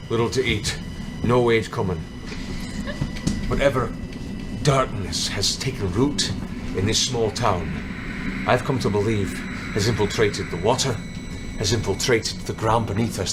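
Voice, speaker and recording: A man voicing a weary, older, burly halfling leader who speaks gravely and deliberately. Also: on the low side, heavy background noise, close to an everyday voice.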